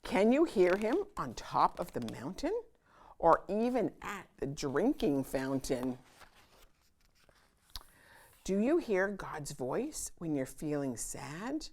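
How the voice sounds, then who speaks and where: A woman hosting a children's storytime, reading a picture book aloud in an expressive, sing-song manner with dramatic pauses. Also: on the low side.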